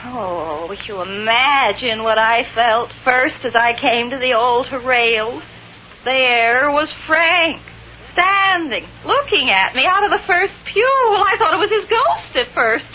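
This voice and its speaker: An actress playing an earnest, emotional young bride in 1930s radio style, breathless and pleading. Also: female voice, high-pitched, animated, unhurried. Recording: dull, noisy old 1930s radio recording.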